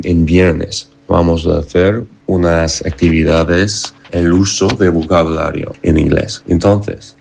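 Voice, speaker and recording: Slow, gravelly American man in Spanish. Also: deep voice, darkish tone. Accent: American English. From United States. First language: English. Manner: halting non-native Spanish with many fillers. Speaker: camp monitor. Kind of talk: interview answer.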